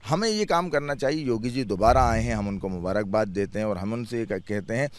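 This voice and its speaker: A man's voice: fairly low pitch, fairly quick. A man chairing a clerics' board answers interview questions earnestly, restarting words and repeating phrases.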